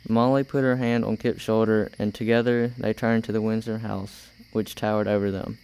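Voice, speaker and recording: A man's voice: fairly low pitch, flat delivery, moderate pace, a little mellow, clean tone, rough recording. A young man reading scripted story narration for an amateur radio play. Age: teenager or young adult.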